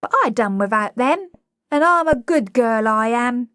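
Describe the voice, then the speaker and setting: A woman voicing a brash young flower girl, loud and indignant. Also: fairly high pitch, animated, unhurried, dry recording, clean studio sound, voice pushed up. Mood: defiant.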